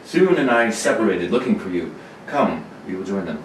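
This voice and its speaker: A gallant, earnest space hero acted by a man with a lowish voice and declamatory serial delivery. He sounds stiffly noble and protective, caught at a distance in a roomy space. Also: very animated, normal speed. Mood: urgent. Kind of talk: old radio serial read-through. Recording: roomy distant mic, loud noise.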